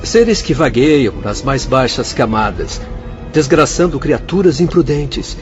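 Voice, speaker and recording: A man playing a calm spirit doctor. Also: mid-range voice, lo-fi sound, faint music behind, natural voice. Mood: explaining. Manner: measured, explanatory. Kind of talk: radio drama acting.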